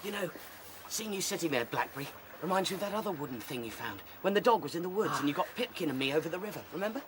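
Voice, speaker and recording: A man playing a small, plucky young rabbit in a radio drama, encouraging and quick. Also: fairly high pitch, lively intonation, noisy background, lightly acted. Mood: eager.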